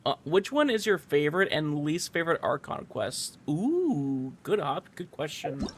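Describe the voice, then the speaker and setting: A man's voice: a little high, sing-song, a little slow, breathy edge. A man chatting casually as a game streamer, informal and rambling as he talks to his viewers. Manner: informal, rambling, filler-heavy. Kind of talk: casual livestream chat.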